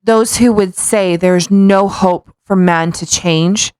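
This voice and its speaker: A woman's voice: medium pitch, slow pace, a little mellow, clean tone, no room sound. A woman co-hosting a podcast, doing a casual dramatic read-aloud with playful, mocking, sweary asides. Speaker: podcast co-host.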